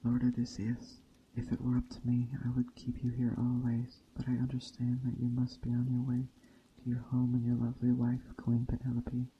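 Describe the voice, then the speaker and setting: A man voicing a wily, seductive witch enchantress in a storybook tale, with soft, coaxing speech. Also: mid-range voice, monotone, normal speed, few pauses, highs cut off, slight background noise, close to an everyday voice. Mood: wistful, warning.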